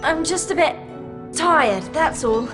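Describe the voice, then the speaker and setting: A woman playing a bright, earnest schoolgirl witch in a video game. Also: high voice, lively intonation, slow, over music.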